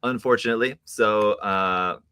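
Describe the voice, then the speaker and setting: A man hosting a casual live stream chat, relaxed and laughing often, with many fillers. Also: fairly low pitch, moderate pace, clear, resonant tone.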